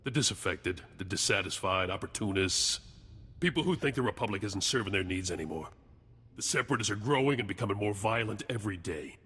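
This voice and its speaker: A man's voice: fairly low pitch, lively intonation, fairly quick, bright, forceful tone, husky, big-room sound, heavily acted. A man acting a clipped, world-weary intelligence agent in a science-fiction video game. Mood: urgent, conspiratorial.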